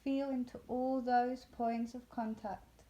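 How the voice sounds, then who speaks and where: Female meditation guide, very slow and soothing, soft-toned and mellow, leaving long pauses. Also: on the high side, level tone, muffled recording. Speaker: yoga nidra instructor.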